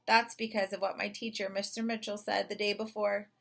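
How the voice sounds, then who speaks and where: A woman narrating a children's story in an expressive read-aloud style. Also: mid-range voice, brisk, gravelly. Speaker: storybook reader.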